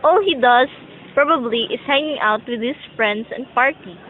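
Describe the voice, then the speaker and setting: A woman's voice: a little high, normal speed, slight background noise, natural voice. A young woman acting a rich man's respectful, earnest son in an amateur student audio drama. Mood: dutiful. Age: young adult. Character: grown sons of a rich man, respectful and pleading. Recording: muffled amateur recording with music.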